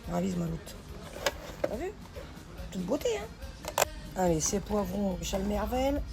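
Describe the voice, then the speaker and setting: A hoarse woman chatting familiarly as she cooks, calling the viewer pet names. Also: medium pitch, wildly swooping pitch, takes it slowly. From northern France. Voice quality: gravelly. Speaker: home cook. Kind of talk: casual cooking vlog chat. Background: background music.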